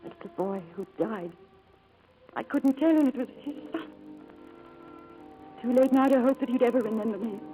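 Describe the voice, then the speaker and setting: A restrained, earnest secretary with a hidden sorrow acted by a woman in an old radio play. Her voice is high and softly mellow, and she speaks with careful devotion. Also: moderate pace, long pauses, soft background music, natural voice. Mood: sorrowful, wistful. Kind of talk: 1940s radio acting. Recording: muffled old radio broadcast recording.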